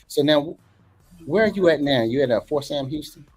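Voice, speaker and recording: A man with a husky, slightly rough voice hosts a casual interview show, with faint music playing underneath. He speaks at a mid pitch and is emphatic and energetic, often repeating himself. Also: normal speed. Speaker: show host.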